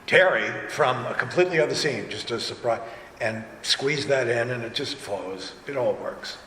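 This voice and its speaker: A man's voice: mid-range voice, normal speed. Echoey man telling anecdotes on a panel. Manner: animated storyteller, acts out quoted remarks. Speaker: audio drama director and voice actor on a panel. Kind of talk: casual panel talk with anecdotes. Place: large indoor convention panel room. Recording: echoey convention hall with background noise.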